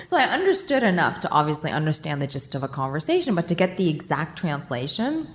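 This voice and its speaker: A woman being interviewed on a podcast, telling her career story in an animated, self-mocking way. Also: deep voice, a little fast. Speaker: guest, war correspondent. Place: charity office, roomy. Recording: echoey room with faint noise.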